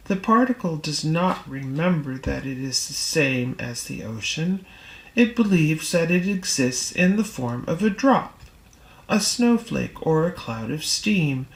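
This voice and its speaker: A woman reading a spiritual text aloud in audiobook style, with measured, deliberate phrasing and pauses. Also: low-pitched, gravelly, roomy sound, poor audio. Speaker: reader.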